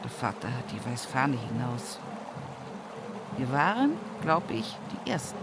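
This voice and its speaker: An actress playing an earthy, stubborn, devout widow from the village in an intimate radio monologue, with a husky, breathy voice and an Upper Palatinate Bavarian accent. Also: female voice, extremely low pitch, very animated, measured pace, very noisy, heavily acted. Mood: wry reminiscing. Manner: earthy, folksy storytelling at a bedside. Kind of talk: intimate radio drama monologue in rural vernacular.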